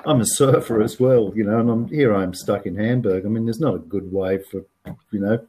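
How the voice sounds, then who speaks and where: A man's voice: fairly low pitch, fairly quick, dark tone, breathy edge. A man in his sixties with an Australian accent, chatting frankly as an interview guest and often repeating words as he thinks. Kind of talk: casual interview chat.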